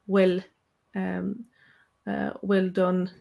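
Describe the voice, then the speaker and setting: A woman's voice: medium pitch, completely flat delivery, extremely slow, vocal fry, lo-fi sound. Hesitant woman co-host. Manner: hesitant, restarting phrases. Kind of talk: live stream Q&A answer.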